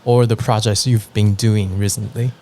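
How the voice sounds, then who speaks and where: A man's voice: on the low side, steady tone, moderate pace, mellow, a little hiss or hum. A man hosting a podcast, asking short curious questions and sometimes restarting them. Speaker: podcast host. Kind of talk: casual interview.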